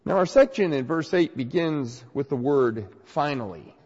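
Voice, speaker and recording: A man preaching a sermon and reading scripture while getting over a cold, his voice a little nasal and raspy, in an earnest, emphatic manner. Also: fairly high pitch, expressive, normal speed, a little mellow. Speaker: pastor. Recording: dull recording with long hall reverb.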